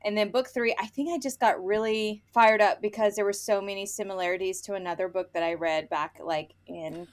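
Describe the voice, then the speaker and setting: A woman's voice: mid-range voice, fairly even intonation, fairly quick, hardly any pauses. A woman co-hosting a casual book chat, speaking bluntly with an exasperated edge and some casual swearing. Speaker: podcast co-host reviewing romance books.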